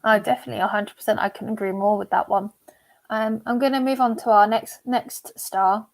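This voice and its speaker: A woman's voice: medium pitch, steady tone, brisk, gravelly. A woman co-hosting a casual stream chat, upbeat as she leads the segment and asks questions. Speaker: co-host.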